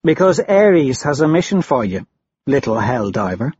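A man's voice: medium pitch, very animated, moderate pace, darkish tone, husky, highs cut off. A man voicing a cold, lazy, mocking woman who commands a band of rebels in an audiobook. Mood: commanding. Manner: cool, commanding, toying.

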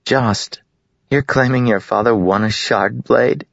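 A man's voice: mid-range voice, takes it slowly, vocal fry, dry recording, pitched above the performer's own voice. A man reading aloud, voicing a confident girl with a knowing, teasing way of talking. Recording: dull lo-fi recording.

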